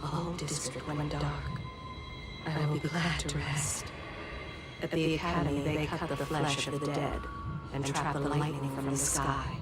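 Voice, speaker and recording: An actress voicing an eerie, mournful oracular spirit in a video game, solemn and detached. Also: female voice, deep voice, level tone, normal speed, close to an everyday voice. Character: mournful, oracular female spirit whispering secrets. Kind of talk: slow, cryptic video game voice acting. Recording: loud music behind the voice.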